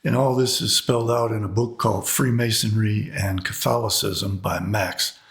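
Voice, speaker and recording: A middle-aged or older man with a slightly hoarse voice, giving an unscripted commentary monologue with halting, deliberate emphasis. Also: on the low side, steady tone. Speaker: host of an online commentary video.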